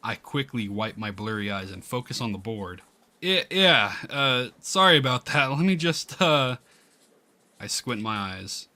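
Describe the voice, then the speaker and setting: Man playing a high school boy, sleepy, flustered, hesitant, bright voice, visual novel. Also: very animated, measured pace. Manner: hesitant, self-conscious. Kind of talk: visual novel read-aloud.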